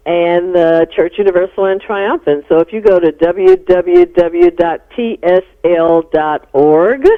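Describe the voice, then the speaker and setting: A middle-aged American woman explaining things as a psychologist guest in a radio interview. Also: lowish voice. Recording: narrow-band phone-like radio sound.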